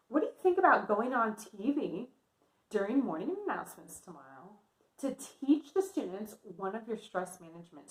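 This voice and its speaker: A woman voicing an upbeat, supportive female teacher from a children's storybook, emphatic and full of praise. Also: medium pitch. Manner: bright-spirited, praising, emphatic. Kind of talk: animated children's storybook reading. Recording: echoey room sound.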